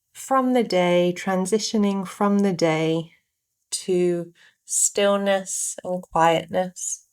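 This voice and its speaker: Slow-paced woman guiding a meditation. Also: medium pitch. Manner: gentle instructional, short phrases with pauses. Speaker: meditation guide. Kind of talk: guided meditation narration.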